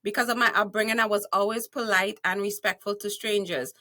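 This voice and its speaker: A woman's voice: mid-range voice, steady tone, fairly quick, sharp tone. A woman with a Trinidadian accent, a guest on a podcast interview, telling her story in a bold, playful way. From Trinidad and Tobago. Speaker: guest, speaker and author.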